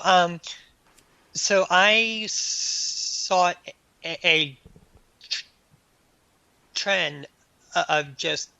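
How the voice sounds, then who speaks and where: A man answering as an interview guest in a halting, stammering way, often repeating sounds and words. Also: on the high side, creaky, pushed and bright, dry recording, dull audio. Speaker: guest author and anthology editor.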